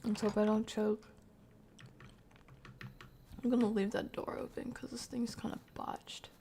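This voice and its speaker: Female game streamer, slightly high-pitched, casual and slangy horror playthrough chatter, some noise and key clicks behind her. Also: little pitch movement, a little slow, gravelly. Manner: slangy, reacting aloud and thinking out loud. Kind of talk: casual live horror-game playthrough commentary, talking to chat.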